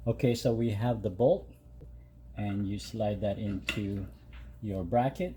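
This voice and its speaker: A man with a fairly low, very mellow voice walks through a hands-on job step by step. His delivery is casual and unscripted, a little hesitant, like a hobbyist showing how it is done. Some ambient noise sits behind him. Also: measured pace. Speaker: host of a DIY truck modification channel. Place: home garage.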